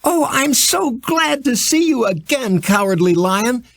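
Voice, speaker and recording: A man voicing a cheerful, affectionate little farm girl in a storybook, gushing with excitement. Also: very high voice, unhurried, vocal fry, no echo, clean studio sound, voice pushed up, heavily acted. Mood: delighted. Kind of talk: storybook character voice.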